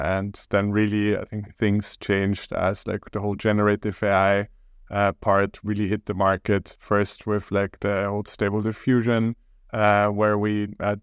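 Guest, male, slow to find words, low even delivery, dry close sound. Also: smooth, no room sound. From Austria. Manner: hesitant, halting, many pauses. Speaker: startup co-founder, podcast guest. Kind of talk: casual interview talk.

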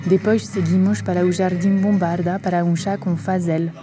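A woman's voice: on the low side, little pitch movement, fairly quick. A woman with a European Portuguese accent hosting a podcast with scripted narration. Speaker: podcast host. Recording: music behind the voice.

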